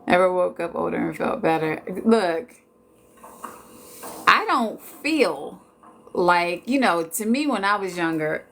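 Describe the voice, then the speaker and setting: Slow, easygoing woman in her forties. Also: lowish voice, animated, fairly bright tone, slight background noise. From Washington D.C. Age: 40s. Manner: chatty, laid-back, joking. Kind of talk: casual chat with viewers.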